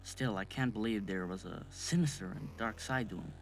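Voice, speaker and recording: A man's voice: lowish voice, normal speed, creaky. Man acting an earnest autopsy doctor, noisy recording. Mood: shocked, dismayed. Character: earnest male autopsy doctor, talking while he works. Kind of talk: amateur audio drama acting. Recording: background noise.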